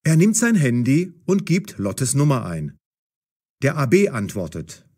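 A man's voice: medium pitch, huge pitch swings, a little slow, a little mellow. A man narrating a scripted story for language learners in short, dramatic sentences.

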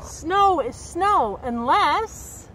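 A middle-aged woman reading a picture book aloud to young children in an expressive, carefully enunciated way, recorded outdoors. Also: extremely high pitch, extremely slow, poor audio. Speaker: storybook reader and nature educator. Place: outdoors by a woodland creek. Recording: outdoor background noise.

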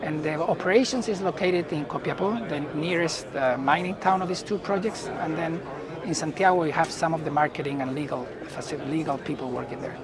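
Higher-voiced man with a Chilean Spanish accent. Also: fairly quick. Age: middle-aged. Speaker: company chief executive being interviewed. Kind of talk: unscripted business interview. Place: indoor booth at a trade conference. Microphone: lapel mic. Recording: busy event hall chatter behind.

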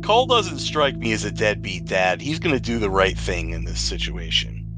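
Animated man running a tabletop game. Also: on the low side, moderate pace. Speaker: game master. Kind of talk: casual tabletop game banter and narration. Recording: music playing behind the voice. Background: music.

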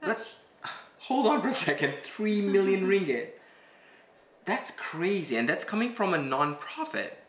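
A man in his thirties from Malaysia with a Malaysian accent, chatting casually and warmly while reacting to a video in his living room. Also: high-pitched, measured pace, echoey room, crowd noise. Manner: relaxed, chatty, easily moved. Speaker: reaction channel co-host.

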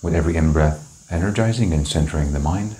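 Very deep-voiced man guiding meditation. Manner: calm, gentle, unhurried with long pauses. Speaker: meditation teacher. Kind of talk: guided meditation instruction. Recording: roomy, echoing indoor sound.

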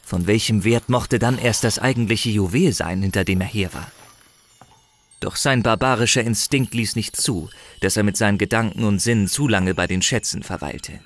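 A man's voice: fairly low pitch, normal speed. A man narrating a scripted fantasy audio drama in a theatrical, suspenseful storytelling manner. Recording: voice under loud music. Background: loud dramatic music.

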